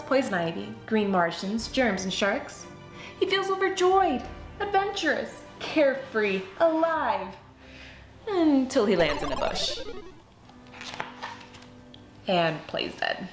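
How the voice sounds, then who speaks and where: A woman reading a children's storybook aloud in an expressive, dramatic way for young listeners. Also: high-pitched, a little slow. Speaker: children's book read-aloud host. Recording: roomy echo with music behind. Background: music.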